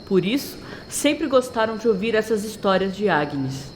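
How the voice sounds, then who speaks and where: A woman's voice: medium pitch, big pitch swings, a little slow, slight background noise. A woman narrator reading a scripted story in a dramatic storytelling style.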